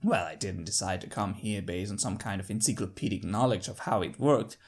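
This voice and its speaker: A man with a creaky voice narrating a first-person story in an expressive, theatrical way. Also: on the low side, a little fast. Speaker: fan fiction narrator.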